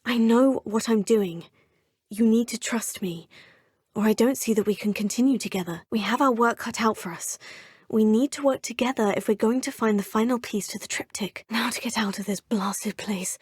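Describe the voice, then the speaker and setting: A woman's voice: a little high, normal speed, darkish tone, no room sound, studio quality. A woman playing an earnest, determined young female wizard student in a video game, sometimes whispering to herself. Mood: murmuring. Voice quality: husky, often whispering to herself. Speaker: player character.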